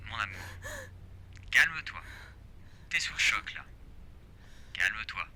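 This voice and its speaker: Guy acting forest ranger on the radio to his wife, reassuring, kinda hushed, really crisp bright voice. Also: on the low side, very animated, slow, heavily acted. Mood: soothing. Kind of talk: French audio drama acting.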